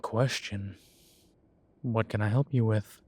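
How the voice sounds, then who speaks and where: A man's voice: lowish voice, steady tone, slightly rough. A man playing a droll, proud, genteel demon professor who lectures a student in a scripted roleplay audio. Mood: genteel, wry. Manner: unhurried, genteel, gently lecturing. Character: droll, proud, urbane male demon professor, centuries old. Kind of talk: scripted roleplay audio, one-sided conversation.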